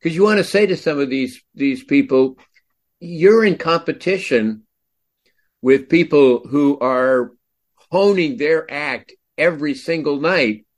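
A man's voice: a little high, a little slow. A man hosting a podcast, chatting casually and telling a story with incredulous asides. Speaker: podcast host. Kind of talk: casual interview chat.

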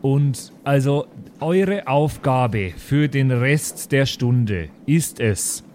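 A man playing a fussy, sceptical maths teacher who speaks haltingly and deliberately in an improvised tabletop audio play. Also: medium pitch, animated, darkish tone, slight background noise. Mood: instructing.

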